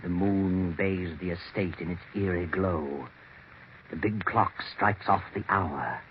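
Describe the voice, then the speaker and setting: A man's voice: deep voice, slow pace, background noise. A man narrating a 1940s radio mystery in a dramatic, scripted style. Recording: muffled 1940s radio recording. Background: music.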